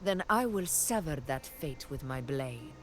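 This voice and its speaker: A proud, fearless woman ruler of warriors for a video game. She speaks at a slow, measured pace with calm, theatrical assurance and a teasing edge. Soft music plays behind her. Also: a bass voice. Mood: solemn, resolute.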